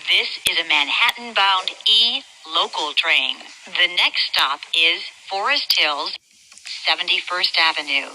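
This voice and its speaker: An American woman reading scripted transit announcements in a measured, clearly enunciated way, in segmented phrases. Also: fairly low pitch, lively intonation, bright, forceful tone. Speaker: train announcer. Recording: noisy train announcement over speaker.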